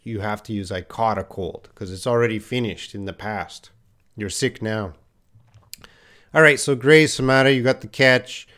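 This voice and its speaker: A man's voice: on the low side, normal speed, a bit bright. A man teaching English in a live online lesson, patiently reading out viewers' answers and correcting them with repeated example phrases.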